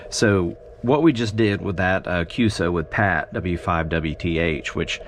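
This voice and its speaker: A man giving a casual, unscripted hobby demonstration, explaining his gear haltingly as he thinks aloud. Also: low voice. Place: indoors in a home radio shack. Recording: clear steady background noise.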